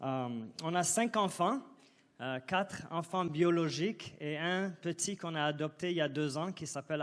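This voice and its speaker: A man in his forties with an Ivorian French accent, preaching a sermon in a relaxed, hesitant way with many fillers and restarts. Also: on the high side. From Ivory Coast. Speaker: guest preacher.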